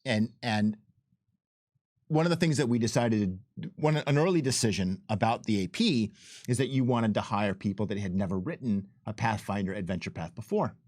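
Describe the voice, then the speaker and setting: A man chatting casually as a game developer and co-host, telling anecdotes in an animated way with acted-out quotes. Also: on the low side, moderate pace, dry recording, studio quality.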